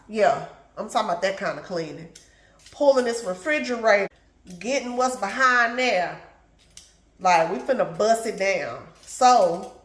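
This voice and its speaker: A middle-aged woman talks to her viewers at an unhurried pace while prepping food at home, calling them y'all and baby. Her voice sounds congested. The kitchen gives her voice a noticeable echo. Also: mid-range voice, a bit bright. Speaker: lifestyle vlogger. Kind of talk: casual chat while cooking.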